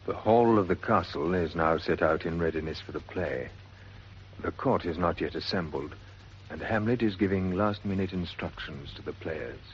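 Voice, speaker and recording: A man's voice: deep voice, moderate pace. A man with Received Pronunciation giving formal, scripted narration for a 1940s radio drama. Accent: English Received Pronunciation. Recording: dull, noisy 1940s radio recording.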